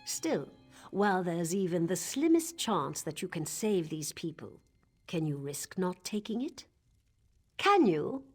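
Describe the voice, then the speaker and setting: A calm, fatalistic woman cult killer from a fantasy game, as a woman voices her. She speaks in a low, composed voice, certain of destiny. Faint music plays behind her. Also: measured pace, slightly rough, heavily acted.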